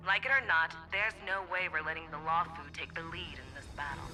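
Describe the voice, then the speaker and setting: A woman's voice: low voice, brisk, over music, natural voice, low-quality recording. An actress voicing a proud, sardonic female military commander, speaking in a cutting, formal way in a video game drama. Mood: sardonic, challenging.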